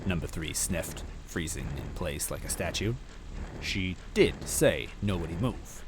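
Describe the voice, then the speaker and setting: A man's voice: sits low, expressive, measured pace, a little hiss or hum. A man narrating a story aloud in a dramatic first-person style.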